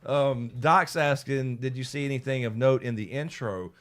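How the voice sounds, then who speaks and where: A man's voice: mid-range voice, normal speed, a bit bright. A man hosting a casual livestream after-show, rambling, swearing and laughing as he reads out viewer comments. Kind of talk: casual live chat, reading viewer comments.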